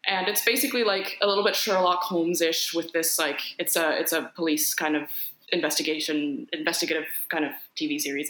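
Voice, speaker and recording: A woman's voice: sits low, pushed and bright, reverberant, rough recording. A woman from Finland, an actor, chatting casually in an interview, rambling with many restarts and fillers. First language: Finnish. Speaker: actor guest.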